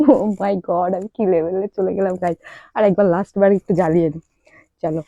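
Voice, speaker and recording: Young female prank-call host, rapid and teasing casual delivery, dry lo-fi sound. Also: fairly low pitch, fairly even intonation, dark tone, no room sound. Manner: teasing, persistent. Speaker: prank caller and vlog host. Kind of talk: casual prank phone chat with asides to viewers.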